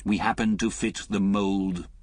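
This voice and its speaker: A cynical, hardened spy, as the reader plays him who speaks curtly with a low, husky voice. The sound is dry and close. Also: male voice, fairly even intonation, measured pace, no room sound. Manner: curt, sarcastic.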